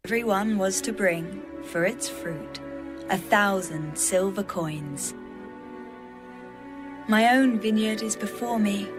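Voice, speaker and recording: A woman playing a devoted, proud young bride in a dramatised Bible reading, speaking in a measured, poetic manner. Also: medium pitch, music in the background, lightly acted. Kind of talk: dramatised audio Bible reading over music.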